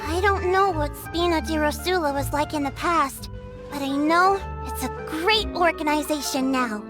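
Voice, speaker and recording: A woman voicing a small, excitable female companion creature in a game, speaking eagerly. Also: high voice, moderate pace, natural voice. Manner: eager, exclaiming. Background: game soundtrack music.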